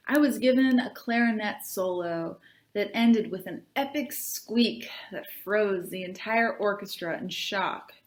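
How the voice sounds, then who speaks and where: A woman reading aloud to children as a librarian, in an expressive, deliberate storybook style. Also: medium pitch. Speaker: youth services librarian reading aloud to tweens.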